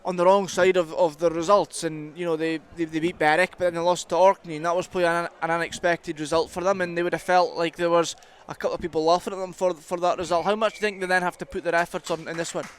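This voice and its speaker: Male rugby play-by-play caller, Scottish, high voice, faint outdoor noise behind. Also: level tone, fast, few pauses. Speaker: rugby commentator. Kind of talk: live play-by-play sports commentary. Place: commentary box at a rugby ground. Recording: outdoor ground with clear background noise.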